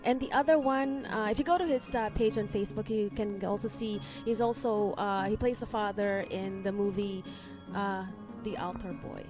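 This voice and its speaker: A woman's voice: mid-range voice, steady tone, moderate pace, hardly any pauses, music in the background. A woman with a soprano voice co-hosting a livestream holiday concert, chatting eagerly and laughing often. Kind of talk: casual livestream chat.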